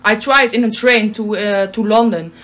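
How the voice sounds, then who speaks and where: A woman's voice: medium pitch, normal speed, fairly bright tone. A twenty-year-old woman, a native Dutch speaker with a Dutch accent, chatting casually and giggly in hesitant English. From Netherlands. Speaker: co-host of a gaming channel. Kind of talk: casual chat over gameplay.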